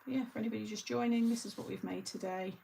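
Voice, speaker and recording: A woman's voice: medium pitch, fairly even intonation, quick pace, vocal fry, warm tone, slight background noise. A middle-aged woman hosting a craft channel, chatting casually and rambling through asides with frequent laughter. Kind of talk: casual live chat.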